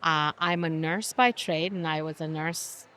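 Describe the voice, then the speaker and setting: An older woman from Romania with a somewhat low voice. She chats freely and warmly in conversation, laughing often and acting out quotes in little voices. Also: unhurried, slight background noise. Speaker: guest, business owner. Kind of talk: casual interview.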